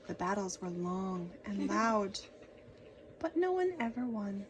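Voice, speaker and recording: Female children's storyteller, very slow delivery, expressive and dramatic, slight background noise. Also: medium pitch. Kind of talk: expressive read-aloud narration for children.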